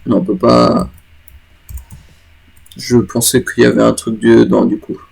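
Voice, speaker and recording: A man at home giving loose, joking commentary over a game, often stopping to correct himself. His voice sits at an ordinary pitch with a lot of gravelly fry. A cold leaves it stuffy. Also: steady tone, measured pace, a little mellow. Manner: rambling, self-correcting, joking. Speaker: gaming YouTuber. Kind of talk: casual let's play commentary.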